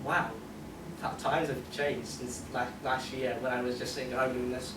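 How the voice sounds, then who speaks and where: A man's voice: mid-range voice, level tone, moderate pace. A young British man chatting informally on a panel in a jokey, self-deprecating way. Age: young adult. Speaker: convention panelist, amateur animator. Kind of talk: casual unscripted panel chat. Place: convention panel room, indoors. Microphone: distant camera microphone. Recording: echoey, noisy room, distant microphone.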